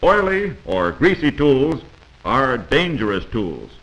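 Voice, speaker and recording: A man's voice: medium pitch, big pitch swings, slow pace, fairly bright tone, rough recording. An American man narrating a scripted 1940s instructional film in a didactic, admonishing manner, heard on an old film soundtrack.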